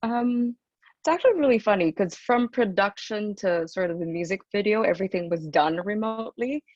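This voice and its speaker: A woman with a deep, rich alto speaks hesitantly on a video call, pausing on "um" as she answers. The sound is dry and a little dull, like laptop call audio. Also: dry recording. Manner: soft-spoken, hesitant, many "um"s, laughs often. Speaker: singer, interview guest. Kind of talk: casual interview over video call.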